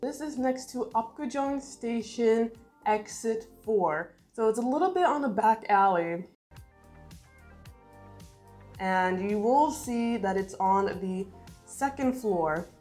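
A woman's voice: a little high, animated, unhurried, darkish tone, faint music behind. A young woman vlogger chatting to the camera indoors, slightly congested and recovering her voice, talking directly to her viewers. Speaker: beauty vlogger. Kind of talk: casual vlog tour narration.

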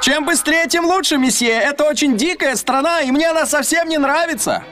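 An actor voicing a small, eager, excitable French manservant in a cartoon dub. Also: male voice, very high-pitched, fairly quick. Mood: anxious. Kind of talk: cartoon dubbing. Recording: music behind the voice.

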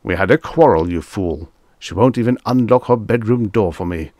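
A man's voice: lowish voice, moderate pace, darkish tone, no room sound, studio quality, natural voice. A man voicing a rich, impatient bully in an audiobook story, with a curt, growling manner. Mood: angry.

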